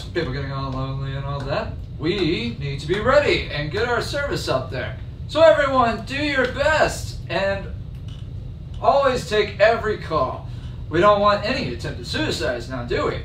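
A man acts as a callous, chirpy boss rallying staff in a dark comedy read-through. His voice is high and lively. He sounds distant in an echoey room. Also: normal speed. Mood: peppy, callous. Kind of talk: amateur table read of a dark comedy script. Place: home dining room, table read. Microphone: far camera. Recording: distant mic, echoey room, faint noise.

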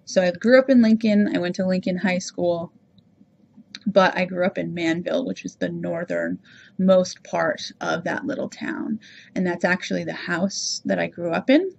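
A woman's voice: fairly low pitch, animated, moderate pace, gravelly, darkish tone, highs cut off, a little hiss or hum. A woman from Rhode Island with no regional accent, hosting a podcast and talking casually about herself with a chatty, self-reflective manner. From Rhode Island, USA. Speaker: podcast host. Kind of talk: casual personal monologue.

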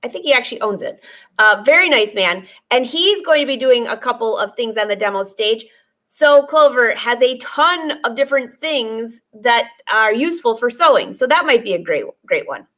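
A woman in her forties hosts a casual live stream from her sewing studio, talking to viewers through a desk webcam. Her voice sits fairly high, and she chats along easily, greeting commenters by name and laughing often. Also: animated, normal speed, pushed and bright. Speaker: sewing teacher and host. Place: sewing studio with dress forms, indoors.

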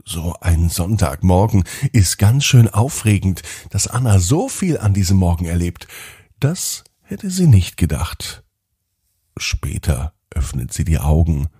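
A man telling a scripted bedtime story to children as podcast host, in an expressive storytelling manner. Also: low-pitched, measured pace, husky, dry recording, clean studio sound. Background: music.

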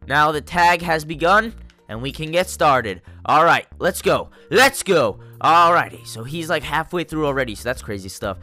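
Young man, congested cold voice, bright tone, excitable gameplay commentary, soft music underneath. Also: on the high side, normal speed. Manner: excitable, jokey, talks to viewers. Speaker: gaming YouTuber.

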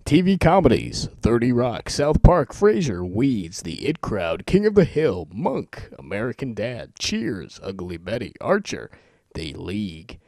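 Man, medium pitch, lively intonation, casual, joking, self-amused, amateur voice-over demo. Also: unhurried, creaky, no room sound. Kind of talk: casual chat and rapid-fire list reading.

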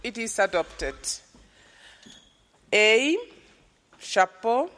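A woman's voice: fairly high pitch, animated, fairly bright tone. A woman chairing a formal committee session, calling items for adoption and asking for objections. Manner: slow, procedural, calling for objections. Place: large conference hall. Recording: long reverb of a large hall.

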